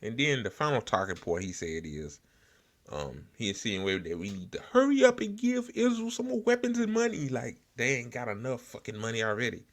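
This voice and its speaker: A man hosting an informal political talk show, speaking in a blunt, sarcastic, profanity-heavy way with halting repetition. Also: medium pitch, sing-song. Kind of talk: informal political rant with commentary.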